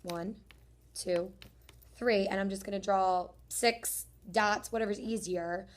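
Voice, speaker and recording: Hoarse woman teacher explaining homework. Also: medium pitch, steady tone, slow pace. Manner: friendly, encouraging, talks through each step. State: losing her voice, hoarse. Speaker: third grade teacher. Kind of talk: homework explanation video for students.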